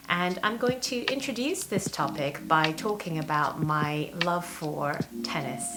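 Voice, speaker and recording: A woman in her thirties from Hong Kong hosting a personal vlog, chatting to camera in an enthusiastic, emphatic way. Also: fairly low pitch. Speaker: vlog host. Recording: voice over prominent background music. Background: music.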